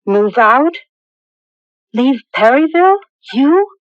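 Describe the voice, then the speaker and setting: An actress playing a confident, outspoken young bride-to-be in a 1980s radio drama, brisk and skeptical. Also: female voice, high voice, very animated, no room sound, heavily acted. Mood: challenging. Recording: muffled old radio broadcast.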